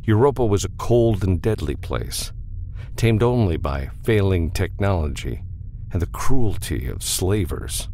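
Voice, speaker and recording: Deep-voiced, slow male audiobook narrator. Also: vocal fry, darkish tone, slight background noise. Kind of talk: dramatic scripted narration.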